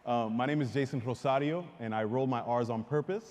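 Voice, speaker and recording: A man's voice: mid-range voice, brisk, darkish tone. Dominican guy on a panel, earnest, talking in a big echoey hall. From Dominican Republic. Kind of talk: panel discussion. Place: large hall, live audience. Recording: reverberant hall.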